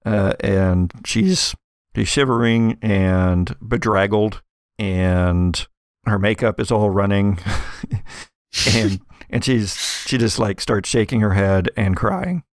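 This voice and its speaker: A man narrating as game master, improvising casually in halting, informal descriptions. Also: on the low side, no room sound, clean studio sound.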